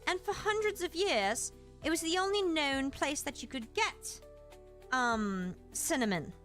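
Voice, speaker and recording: High, bright British-accented woman streamer. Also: expressive, measured pace, faint music behind. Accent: British, put on. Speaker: live streamer and host giving a lesson. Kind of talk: livestreamed educational lesson with chat replies.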